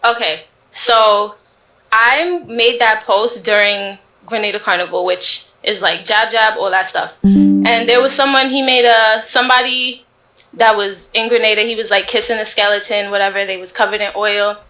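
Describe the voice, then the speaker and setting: Bright-voiced emphatic Caribbean woman. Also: mid-range voice, fairly even intonation, moderate pace, poor audio. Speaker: talk show guest. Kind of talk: casual explanatory conversation.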